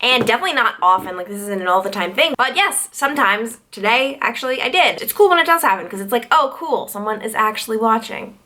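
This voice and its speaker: A young woman in her twenties from Long Island, New York, chatting her way through a viewer Q&A. Her voice is bright and forward, and her pitch climbs high when she gets excited. She is loose and filler-heavy, full of "like". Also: fast talker, hardly any pauses. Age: 20s. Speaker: TV news reporter and vlogger. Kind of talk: casual Q&A vlog.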